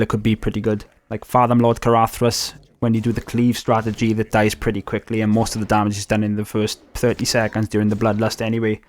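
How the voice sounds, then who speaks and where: A Welsh man talking through a game in a casual, explanatory voice-over, mid-pitched and easygoing. He slips in fillers and the odd swear word as he explains. It sounds like a home desk microphone. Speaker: gaming YouTuber.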